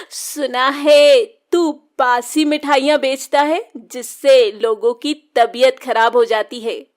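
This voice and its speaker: A woman's voice: high voice, lively intonation, very slow, a bit bright, full character voice. A woman voicing a vengeful young witch in a children's cartoon story, with a mocking, cackling manner. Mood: gloating, taunting. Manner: taunting, cackling.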